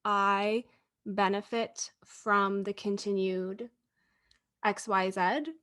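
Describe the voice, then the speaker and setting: A woman co-hosting a podcast, casual and joking, speaking in quick asides. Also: medium pitch, fairly even intonation, a bit bright. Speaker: podcast co-host. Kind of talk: casual conversation.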